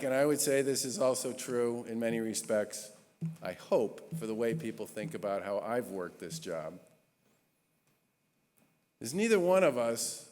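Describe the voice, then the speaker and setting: A man in his sixties, a state governor, giving an earnest, emphatic introduction speech with measured pauses at a gala. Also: mid-range voice, darkish tone. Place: large historic church. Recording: long reverb of a large church hall.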